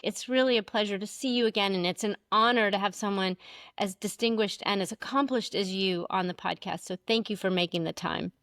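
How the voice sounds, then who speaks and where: A woman's voice: medium pitch, brisk, a bit bright, dry recording, clean studio sound. A woman co-hosting a podcast, graciously introducing and welcoming her guest. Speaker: podcast co-host. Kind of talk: podcast interview.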